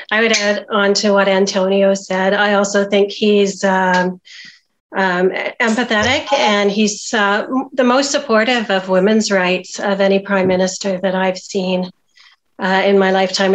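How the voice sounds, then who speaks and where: A woman in a focus group giving her view in an even, steady way. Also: mid-range voice, a little slow.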